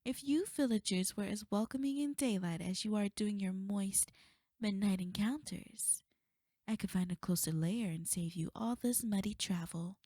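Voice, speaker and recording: A woman playing a sly, teasing succubus in an intimate ASMR roleplay, breathy and often slipping into whispers. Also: medium pitch, moderate pace, warm tone, no echo, studio quality, close to an everyday voice. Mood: amused, teasing. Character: sly, seductive female succubus, taunting and teasing. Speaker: audio roleplay performer.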